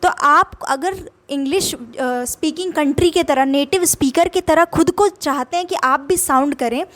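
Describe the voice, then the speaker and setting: A woman's voice: high-pitched, normal speed, a bit bright. A young Indian woman with an Indian accent teaching English vocabulary in a casual lesson, patiently explaining each word and repeating its meaning in Hindi and English.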